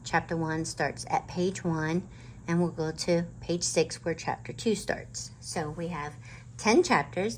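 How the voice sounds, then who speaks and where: A woman's voice: fairly low pitch, a little hiss or hum, low-quality recording. A woman hosting a children's storytime and reading a chapter book aloud. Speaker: storytime host and reader.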